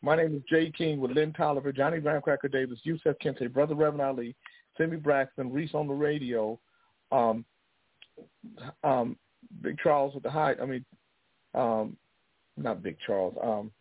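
A man hosting a radio show, talking conversationally in a rambling, philosophical way with pauses. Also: mid-range voice, slightly rough, dry recording. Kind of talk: show sign-off monologue, conversational. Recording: tinny phone-like audio, music behind.

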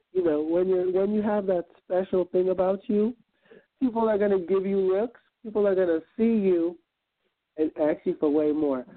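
A man from Guyana, a guest on an internet radio interview, speaking in an earnest, reflective way with many ums and uhs. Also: high voice. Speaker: guest, event planner and entrepreneur. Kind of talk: motivational interview talk. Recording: tinny phone line.

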